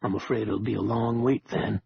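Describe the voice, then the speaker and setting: A man doing a calm, guarded leader. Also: fairly low pitch, brisk, vocal fry, dull audio, a little hiss or hum, voice pushed down. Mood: measured.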